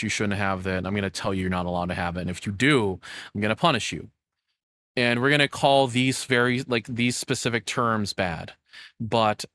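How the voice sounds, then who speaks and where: A man with a lowish voice reacts casually on a very dry, close recording. He talks off the cuff as a host, blunt and informal, with loose swearing. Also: fairly bright tone, no room sound.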